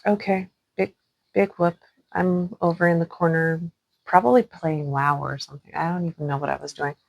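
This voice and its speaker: A woman's voice: low voice, fairly even intonation, moderate pace, no echo. A young woman co-hosting a podcast, chatting casually and laughing along with her co-host. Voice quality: gravelly, husky. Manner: laughing, brief interjections. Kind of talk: casual chat.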